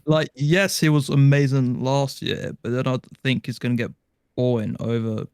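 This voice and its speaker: A man's voice: mid-range voice, steady tone, moderate pace, a little mellow, clear, resonant tone, no echo. A man co-hosting a podcast, giving his views in casual chat. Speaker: podcast co-host.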